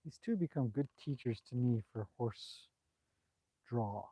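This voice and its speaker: A man's voice: mid-range voice, lively intonation, measured pace, mellow, husky, dry recording. A man hosting a casual outdoor livestream, chatting loosely about his horses and answering viewer comments, recorded on a cell phone. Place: outdoors by a horse paddock on a bright day.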